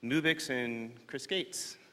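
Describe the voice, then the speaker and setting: A man's voice: medium pitch, takes it slowly, very noisy. A man on stage announcing contest winners, upbeat and joking as he calls out to the crowd. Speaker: contest organizer presenting prizes. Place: large conference hall. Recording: reverberant hall with crowd.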